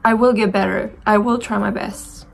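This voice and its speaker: A woman's voice: mid-range voice, fairly even intonation, normal speed, vocal fry, soft background music. A woman in her twenties from Romania with a Romanian accent, chatting casually and reflectively to camera while doing her makeup, with many fillers and restarts. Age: 20s. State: dry throat. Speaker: beauty vlogger.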